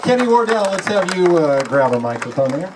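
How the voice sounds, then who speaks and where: A man's voice: sits high, sing-song, fairly quick, distant mic, noisy background. A man informally hosting a live panel at a reunion event in a chatty manner. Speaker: event host. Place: public event with audience. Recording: live event with loud crowd.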